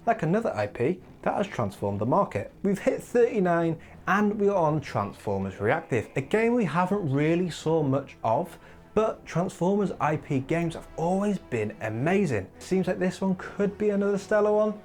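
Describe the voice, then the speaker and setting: A man's voice: sits high, moderate pace. A man hosting a gaming countdown in casual commentary, rambling and opinionated, his voice wearing thin. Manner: opinionated, rambling, lively asides. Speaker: gaming video host. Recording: faint music behind.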